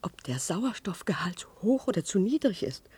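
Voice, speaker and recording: Anxious dutiful underground worker, husky, pleading, widely swinging pitch, radio drama. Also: female voice, big pitch swings, moderate pace. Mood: hushed, anxious. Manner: pleading, swinging from fervent to hushed. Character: anxious, dutiful female cellar worker, clinging to comforting beliefs. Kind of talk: German science-fiction radio play acting.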